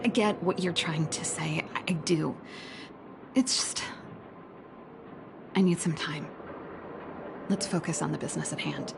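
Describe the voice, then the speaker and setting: A woman's voice: deep voice, a little slow, long pauses, background noise. A woman voicing a headstrong, rebellious young woman in a video game, frank and sardonic. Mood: subdued. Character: headstrong rebellious young woman, still resentful of her father. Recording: voice under clear background music.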